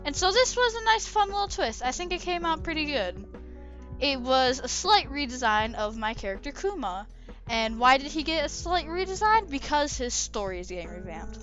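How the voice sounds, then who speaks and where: A woman's voice: high-pitched, big pitch swings, moderate pace, fairly bright tone, dull audio, soft background music. A woman chatting casually about her own drawing over a speedpaint video, rambling and often correcting herself. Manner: rambling, hesitant, self-correcting. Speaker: artist commenting on own drawing. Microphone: desk microphone.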